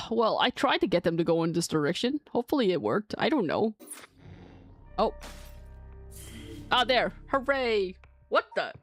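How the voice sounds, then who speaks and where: A woman giving chatty, animated commentary on a video game as she plays, talking back to it and reading bits aloud. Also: medium pitch, measured pace, pauses often, no room sound, faint music behind. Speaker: host of a video game let's play.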